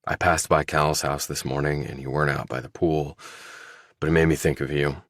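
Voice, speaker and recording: A man as a deep-voiced, guarded ex-soldier. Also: level tone, normal speed, a bit bright, no room sound, clean studio sound. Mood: probing, polite. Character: guarded, probing male ex-soldier turned investigator. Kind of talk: audiobook character dialogue.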